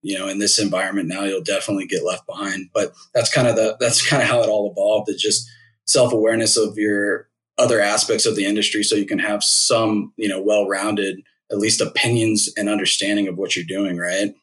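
A man in his thirties, a podcast guest talking about his career in a measured, thoughtful way with many fillers. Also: fairly low pitch, little pitch movement, fairly bright tone, low-quality recording. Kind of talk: casual interview.

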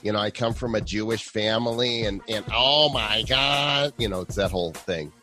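A man's voice: fairly low pitch, sharp tone, faint music behind. A man co-hosting a crypto podcast, from the Chicago area, with a slightly nasal voice, joking around and reading an ad. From Illinois, Chicago area. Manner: playful, jokey, quick asides. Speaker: podcast co-host. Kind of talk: casual podcast chat and read ad.

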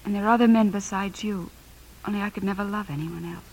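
A woman's voice: medium pitch, steady tone, fast talker, darkish tone, a little hiss or hum, lightly acted, rough recording. A woman with an American accent playing a principled, anguished young mother and wife in a 1950s radio drama, earnest and emotional. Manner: earnest, emotional, breathy at times.

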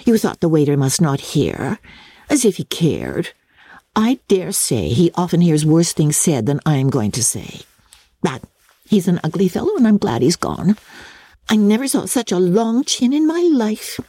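Giddy, gossipy teen girl for a classic storybook, played by a woman. Gushing, careless, pitched up, very animated. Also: unhurried, slightly rough, no echo, heavily acted. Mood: gossipy, triumphant. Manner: gushing, exclamatory, chattering.

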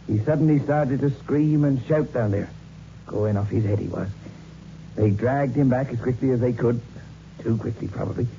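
Man playing grave folksy sergeant, very dark soft-edged voice, ominous storyteller, muffled 1960s radio drama. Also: mid-range voice, normal speed, vocal fry, natural voice. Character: grave local police sergeant, ominous teller of ghost tales. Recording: muffled, hissy old radio recording.

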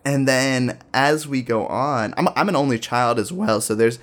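A young man from Ohio talks through a personal story in an easy, unhurried conversational voice. His pitch sits in the middle for a man. He leans heavily on filler and circles back on himself, often poking fun at himself as he goes. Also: fairly bright tone. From Dayton, Ohio, US. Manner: rambling, lots of filler "like", self-deprecating.

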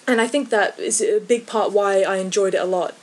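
A woman talks as a podcast guest about film. She speaks in a casual, hesitant way and fills her pauses with ums and likes. Her pitch sits in the middle of the usual range. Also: fairly even intonation, darkish tone. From English Midlands.

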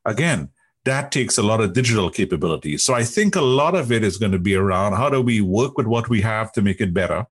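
Trinidadian guy being interviewed, kinda low husky voice, explains stuff with emphasis and rhetorical questions. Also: lively intonation, fast. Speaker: interview guest, technology executive.

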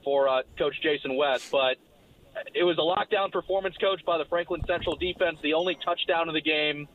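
Slightly hoarse man on a tinny phone line. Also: fairly high pitch, steady tone, slight background noise. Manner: brisk, informative recap. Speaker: play-by-play broadcaster. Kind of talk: phone-in game recap.

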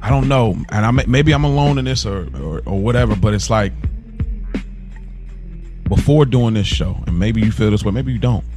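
A man's voice: lowish voice, moderate pace, music in the background. A man co-hosting a podcast in earnest, casual chat, often repeating and rephrasing himself as he goes. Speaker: podcast co-host.

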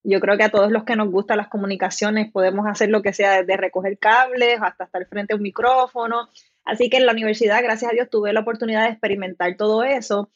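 A woman's voice: medium pitch, fairly even intonation, fast talker, no echo, dull audio. A woman from southern Puerto Rico with a Puerto Rican accent, a writer talking as a podcast guest in a friendly, talkative way. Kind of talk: interview conversation.

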